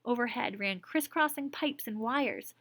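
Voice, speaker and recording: A woman's voice: a little high, a little slow, a bit bright, dry recording. A woman teacher reads a story aloud to her class. She keeps stopping to explain words and ask checking questions.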